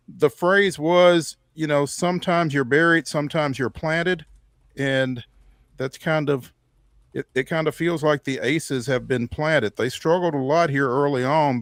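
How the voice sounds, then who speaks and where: A man co-hosting a sports radio show, talking casually and deliberately with pauses. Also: a little high, dry recording, clean studio sound.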